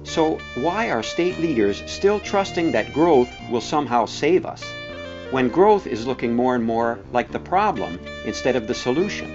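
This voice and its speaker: A man narrating a scripted documentary in a measured, pointed way with deliberate emphasis. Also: medium pitch, lively intonation. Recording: dull, band-limited sound with music behind.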